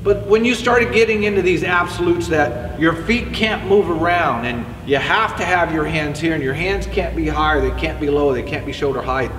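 A middle-aged man coaches hitting in a relaxed, chatty way, his voice sitting a little high for a man. He stands back from the camera inside a large indoor batting cage. The room gives a long, hollow echo, with a faint hum of ambient noise underneath. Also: normal speed, few pauses. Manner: conversational, demonstrating with a bat while he talks. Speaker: hitting instructor. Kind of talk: spoken coaching lesson to camera. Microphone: far camera. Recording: echoey indoor facility, distant mic.

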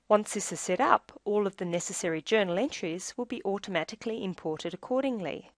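A woman reading scripted instructional narration for a software tutorial in a measured, explanatory way. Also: medium pitch, dry recording.

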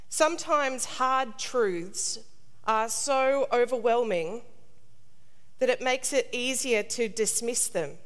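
Slow woman preaching, long reverb. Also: fairly high pitch. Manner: measured, deliberate, solemn. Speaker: priest, preacher. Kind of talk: scripted sermon. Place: large stone cathedral, indoors. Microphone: lectern gooseneck microphone.

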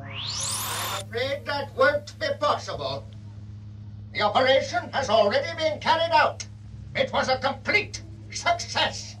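A man's voice: extremely high pitch, very animated, a little slow, sharp tone, soft background music, exaggerated voice, rough recording. A man playing a vain, fanatical scientist in an audio drama, grandiose and impatient.

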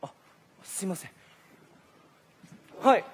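A man's voice: slow pace, close to an everyday voice. A man acting a timid but determined teenage boy volleyball player in a theatrical sports stage play. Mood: shy, polite. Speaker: stage actor. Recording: echoey stage, loud music behind.

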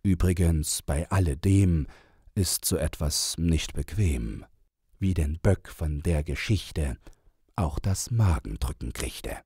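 A man reading a rhymed children's story aloud as an audiobook, in a deliberate, theatrical manner. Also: rumbling low, animated, mellow, breathy edge, no echo, studio quality. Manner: deliberate, theatrical, measured verse delivery. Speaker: audiobook reader.

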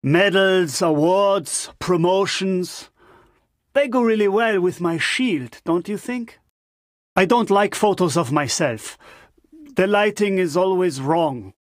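A man's voice: high-pitched, slow, no room sound, studio quality. An actor playing an upbeat, vain, joking male special-forces operator, playful and self-assured, in video game voice lines. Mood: playful, cocky.

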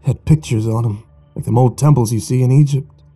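A man's voice: mid-range voice, fairly quick, warm tone, breathy edge, close to an everyday voice. A man voicing a wasted, dying fisherman who speaks in weak, gasping, broken phrases. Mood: haunted, gasping. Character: dying male fisherman, gaunt, gasping and desperate.

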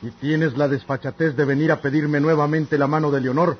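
An actor playing an angry, proud rural father in a radio drama, declaiming indignantly in a husky, rough voice. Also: fairly high pitch, steady tone, brisk, slight background noise, close to an everyday voice. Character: angry, proud rural father refusing his daughter's suitor. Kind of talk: old radio drama acting. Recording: dull, lo-fi old radio recording.

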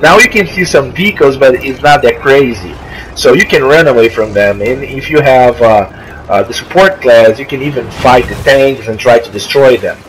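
Brazilian man with noisy audio. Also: medium pitch. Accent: Brazilian Portuguese. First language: Portuguese. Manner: halting, searching for words, many restarts. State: coughing from allergy. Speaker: gamer. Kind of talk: casual gameplay commentary. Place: friend's house while traveling. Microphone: makeshift mic, not his usual. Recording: noisy, poor-quality home recording.

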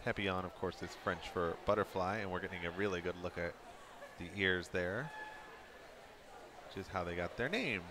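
A very deep-voiced man calls a live dog show, relaxed and quick to poke fun at himself. Steady crowd noise runs under his broadcast commentary. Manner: relaxed, self-deprecating joking.

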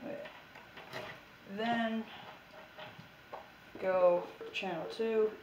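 A woman talks casually through a home hobby test, offhand and partly mumbling to herself. Her voice sits at an average pitch. It is picked up by a handheld camera mic with clear noise behind it. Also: fairly even intonation, drawn-out words, highs cut off. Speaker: video maker. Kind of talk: casual hobbyist demonstration vlog. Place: small room at home, indoors.

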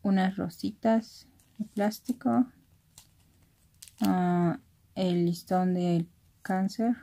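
A woman's voice: mid-range voice, steady tone, extremely slow, gravelly. A young woman with a Mexican accent chatting casually as she shows craft items, keeping her voice down. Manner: soft-spoken, keeping voice down because others are sleeping. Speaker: craft vlogger. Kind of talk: casual chat, narrating an unboxing. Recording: noisy room with handling rustle and crinkling. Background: clicks, crumpling and crinkling of packaging.

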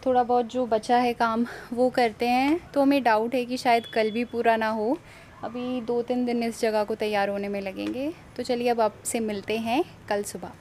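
A young woman chats to her viewers in a fairly high, easy voice, explaining as she goes. She is recorded on a handheld camera out on an open rooftop terrace, with clear outdoor noise all around her. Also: steady tone, normal speed, darkish tone. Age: young adult. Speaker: vlog host. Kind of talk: casual chat to camera. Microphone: handheld camera at arm's length. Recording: noisy outdoor ambience.

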